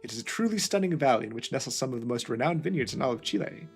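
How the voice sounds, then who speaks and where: A man's voice: mid-range voice, lively intonation, rapid-fire, creaky, breathy edge, soft background music. A man voicing a friendly male Chilean wine shopkeeper, chatty and polite.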